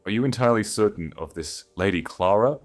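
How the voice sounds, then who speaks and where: A man voicing a grave elderly banker in an audiobook, formal and measured, earnestly cautioning a client. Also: lowish voice, lively intonation, pitched above the performer's own voice, natural voice. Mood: grave warning. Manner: formal, measured, emphatic warnings. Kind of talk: dramatised audiobook story.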